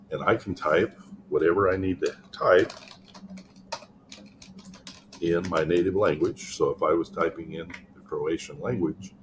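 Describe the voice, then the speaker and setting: Low-voiced older man tutoring on screen. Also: noisy background. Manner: relaxed, explanatory, frequent ums. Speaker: college writing tutor. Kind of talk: screen-share tutorial walkthrough. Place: office, indoors.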